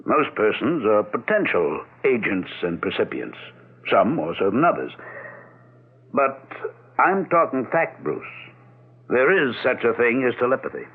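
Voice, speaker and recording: Didactic doctor, frank and very expressive in pitch, vintage radio mystery with thin phone-like sound. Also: male voice, slightly rough, full character voice. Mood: explanatory, certain. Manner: didactic, deliberate. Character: learned, frank doctor explaining telepathy. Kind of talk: 1970s radio drama acting.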